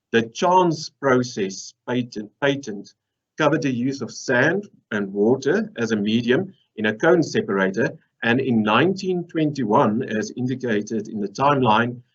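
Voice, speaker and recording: A man's voice: medium pitch, slightly rough. A man in his thirties from South Africa, speaking English with an Afrikaans accent, giving a conference presentation with dry jokes. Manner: dry joking, lively lecturing. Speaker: process engineer presenter. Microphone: video call webcam. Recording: dull lo-fi video call audio.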